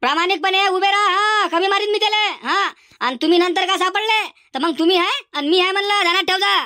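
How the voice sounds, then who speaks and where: A man voicing an exasperated village schoolteacher in a comedy cartoon, hectoring in a Varhadi Marathi accent through a pitched-up effect. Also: fast talker, pushed and bright, no room sound, clean studio sound, exaggerated voice. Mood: threatening. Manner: hectoring, repetitive, threatening.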